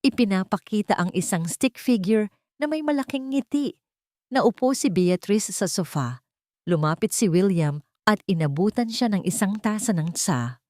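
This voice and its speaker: A woman's voice: medium pitch, animated, moderate pace, dark tone, no echo, studio quality. A woman narrating a scripted dramatic audiobook story.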